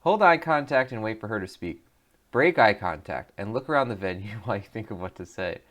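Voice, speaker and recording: A man's voice: on the low side, animated, brisk, a bit bright. A man hosting a let's play, reading the choices aloud and joking about them with frequent laughs. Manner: jokey, reads options aloud, laughs often.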